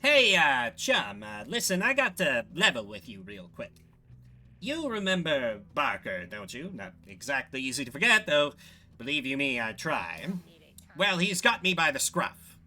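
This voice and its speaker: A man voicing a shifty, fast-talking game scamp with cocky, evasive, wheedling patter. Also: fairly high pitch, very animated, pushed and bright, soft background music, heavily acted. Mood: uneasy confiding. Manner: chummy, wheedling, salesman-like patter. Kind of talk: video game character voice.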